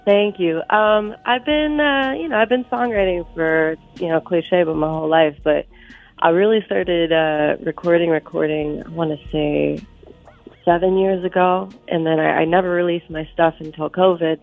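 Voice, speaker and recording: A soft-spoken woman with a stuffy cold, a musician talking as a guest on a live radio phone interview and filling her sentences with easy fillers. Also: on the low side, fairly even intonation, normal speed, creaky. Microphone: phone line. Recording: tinny phone line with faint music.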